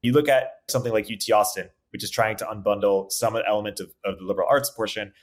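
A man hosting a conversational podcast interview, with a halting delivery that repeats words as thoughts form. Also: lowish voice, steady tone.

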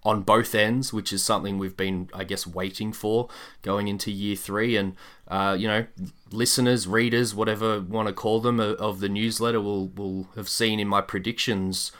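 A man with a fairly low voice talks as a podcast co-host. His delivery is casual and conversational, as if working out his thoughts while he speaks. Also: fairly even intonation, normal speed, fairly bright tone. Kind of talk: casual basketball podcast chat.

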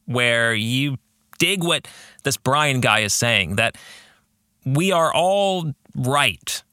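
A man hosting a podcast, giving reflective commentary on a book in measured, emphatic phrases and putting questions to listeners. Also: mid-range voice, sharp tone, dry recording, clean studio sound. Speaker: podcast host and author.

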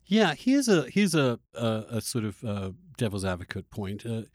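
Halting man in a dry, close recording. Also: lowish voice, sing-song, darkish tone, no room sound. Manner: halting, repeats words while arguing a point. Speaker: podcast host. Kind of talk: conversational interview discussion.

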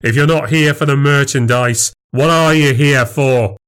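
A man's voice: medium pitch, unhurried, fairly bright tone, clear, resonant tone, pitched above the performer's own voice, close to an everyday voice. Jealous, sneering husband, read by a man. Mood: confrontational. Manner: goading, sneering. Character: jealous, sarcastic husband picking a fight. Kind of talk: acted audiobook dialogue.

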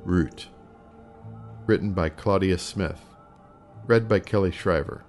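Low-voiced male announcer over faint music. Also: slow, darkish tone. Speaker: podcast announcer. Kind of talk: scripted podcast introductions.

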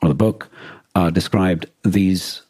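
A man's voice: low voice, fairly even intonation, slow pace, darkish tone, breathy edge, no room sound. A man co-hosting a podcast, talking casually in a thoughtful, explanatory way with some hesitation. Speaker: podcast co-host. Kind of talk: casual discussion.

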